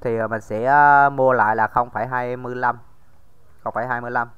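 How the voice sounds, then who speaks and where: A man's voice: medium pitch, flat delivery, brisk, a bit bright, clean tone, highs cut off. A man giving a casual screen-recorded tutorial, talking straight to viewers with many fillers. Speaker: crypto tutorial host.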